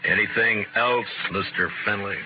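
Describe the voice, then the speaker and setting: A man with an American accent playing a steady, principled frontier marshal in a 1950s radio western, speaking in a terse, dry, unhurried way. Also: fairly low pitch, background noise, lightly acted. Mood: dry, firm. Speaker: radio actor in a western series. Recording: muffled vintage radio recording, music behind.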